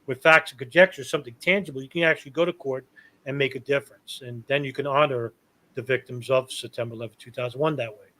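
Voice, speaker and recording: A man's voice: medium pitch, fairly even intonation, fast, pushed and bright. A man in his fifties speaking as a guest, casual but earnest and emphatic about his opinions. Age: 50s. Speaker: talk show guest and online activist.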